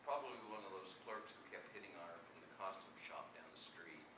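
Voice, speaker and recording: A man with a fairly low voice gives a live author reading, picked up from across an echoey room. He reads light, humorous fiction aloud and now and then trips on a word and repeats it. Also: fairly quick. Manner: stumbles over and repeats words while reading. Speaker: author reading own short story. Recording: echoey distant live room recording, noisy.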